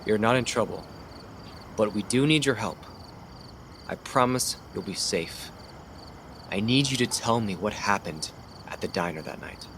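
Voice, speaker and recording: A man's voice: fairly low pitch, expressive, measured pace, pauses often, noisy background. A man acting as an earnest, methodical police detective in an audio drama. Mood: reassuring, firm.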